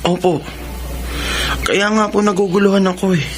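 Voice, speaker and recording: A man's voice: high-pitched, moderate pace, music in the background, natural voice. A man with a Filipino accent playing a troubled, respectful young adult son in a radio drama, polite and hesitant. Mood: confused, downcast. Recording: noisy radio drama track with ambience.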